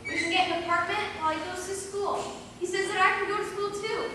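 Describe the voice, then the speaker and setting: Women acting high-voiced stage heroines in an echoey hall. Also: female voice, steady tone, fast talker, bright, forceful tone. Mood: wistful. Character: teenage girl reading a diary aloud, and her stern, wounded aunt. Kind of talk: amateur one-act stage play acting. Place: performed on stage in a hall, recorded from far off. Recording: distant, echoey hall stage recording with noise.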